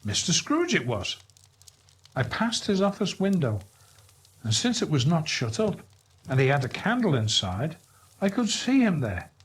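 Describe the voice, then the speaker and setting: A man's voice: on the high side, wildly swooping pitch, a little slow, pauses often, slightly rough, full character voice. A man voicing a genial husband in a storybook reading, in a conversational manner. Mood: genial, musing. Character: genial husband sharing news at home.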